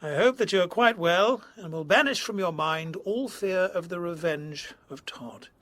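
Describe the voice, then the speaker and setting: An actor playing a genteel, anxious colonel in a period audio drama, formal and earnest. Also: male voice, sits high, normal speed, a bit bright. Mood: measured, reading.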